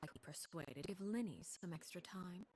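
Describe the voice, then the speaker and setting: A woman's voice: fairly low pitch, a little fast, noisy background, close to an everyday voice. A woman voicing a cold, composed, commanding woman in a video game, speaking formally and with condescension. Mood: coolly bargaining. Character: cold, commanding, composed woman who bargains with quiet menace.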